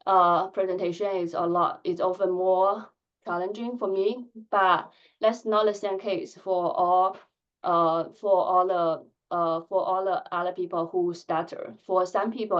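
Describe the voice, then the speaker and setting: A woman in her thirties who stutters, giving a candid conference talk with blocks and filled pauses. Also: on the low side, steady tone, lo-fi sound. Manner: soft-spoken, candid, measured. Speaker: conference speaker. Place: conference hall.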